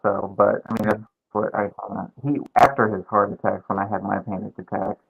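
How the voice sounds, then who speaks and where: A man with a low, gravelly voice talks in a plain, matter-of-fact way. He sounds tinny, as if heard over a phone line, and his voice has plenty of fry. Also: fairly even intonation, a little fast. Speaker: podcast guest. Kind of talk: casual chat.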